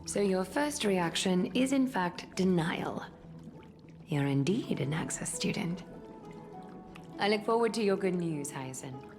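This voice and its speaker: A woman's voice: lowish voice, animated. A woman playing a composed, aloof, formal mature lady in a video game. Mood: grave, measured. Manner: formal, measured, detached. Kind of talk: scripted game voice acting. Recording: loud background music.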